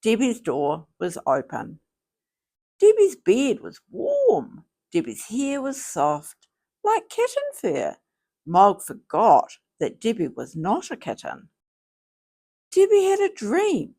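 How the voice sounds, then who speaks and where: Slow, very animated woman reading a children's story. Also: fairly high pitch, vocal fry. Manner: slow, theatrical, deliberate phrasing. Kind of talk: expressive scripted storybook read-aloud.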